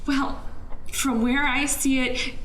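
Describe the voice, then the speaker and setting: A high, youthful voice belongs to an anxious young woman psychiatrist played by an actress in an audio drama. She is self-critical and defensive, stumbling over her sentences as she pleads. The sound is roomy and echoing, with faint noise behind her. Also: flat delivery, fairly bright tone. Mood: challenging. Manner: halting, self-interrupting, pleading. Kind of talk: emotional audio drama dialogue.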